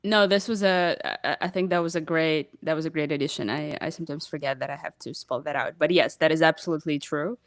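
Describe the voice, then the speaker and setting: A woman's voice: deep voice, fast talker, hardly any pauses, no room sound. A woman from Ukraine, a native Russian speaker, explaining earnestly as a panel guest with some stammered restarts. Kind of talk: casual panel discussion.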